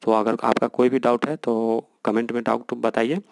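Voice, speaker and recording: A man's voice: mid-range voice, level tone, moderate pace, gravelly, dry recording. A man with an Indian accent hosting a channel, explaining informally to viewers in a repetitive, insistent way. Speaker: YouTube channel host.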